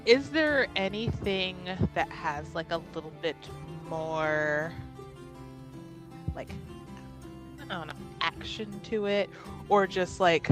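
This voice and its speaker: A woman's voice: lowish voice, slow, pauses often, faint music behind. A woman improvising casual dialogue in a tabletop roleplaying game, informal and searching for words as she goes.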